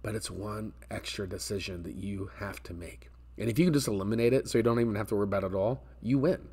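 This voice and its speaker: A man's voice: lowish voice, steady tone, fast talker, dark tone, slightly rough. An American man in his forties talking to camera in a conversational, explanatory way, repeating words for emphasis as he gives business advice. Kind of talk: casual advice talk to camera. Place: indoor office space with metal ceiling and glass garage door.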